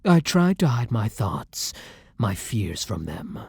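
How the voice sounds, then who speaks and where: A man playing a gentle, tormented vampire in an intimate ASMR roleplay, speaking in a breathy hush with halting, dramatic pauses. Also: fairly low pitch, big pitch swings, full character voice. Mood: sorrowful confession. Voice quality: breathy, hushed, dropping into whispers. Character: tormented, gentle male vampire torn between hunger and compassion.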